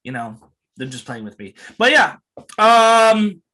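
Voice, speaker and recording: High bright-voiced young man. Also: a little slow. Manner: relaxed, laid-back, trails off with fillers. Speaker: guest, content creator. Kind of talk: casual chat.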